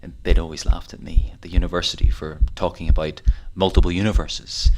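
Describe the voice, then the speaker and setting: An actor playing a haunted fugitive physicist in a wartime audio drama, musing hesitantly as if recording a diary. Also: male voice, deep voice, little pitch movement, a little fast, natural voice, rough recording. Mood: earnest, realising. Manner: hesitant, musing, with sighs and broken phrases. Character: haunted, rambling fugitive physicist, man living through a war.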